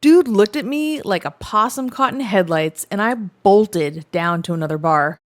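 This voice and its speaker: Woman narrator, wry matter-of-fact storytelling, mid-pitched voice, dry close recording. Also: lively intonation, unhurried, no room sound. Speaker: narrator reading online stories. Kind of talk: scripted first-person story narration.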